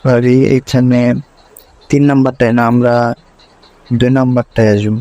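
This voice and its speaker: A man's voice: mid-range voice, little pitch movement, normal speed, mellow. A man presenting a screen tutorial step by step, linking each instruction to the last in a repetitive way.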